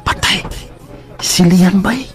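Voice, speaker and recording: An actor playing a chummy, excitable best friend with a familiar, prodding manner, in a radio drama. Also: male voice, high-pitched, slow pace, soft background music, some chatter behind. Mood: startled.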